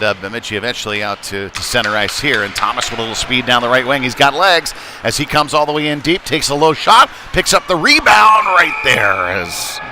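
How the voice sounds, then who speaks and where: A man doing live play-by-play commentary of an ice hockey game in a casual, unpolished, conversational way. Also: mid-range voice. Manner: excited calls when play heats up. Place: indoor ice rink. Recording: noisy live rink stream, clear background noise. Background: rink ambience and noise.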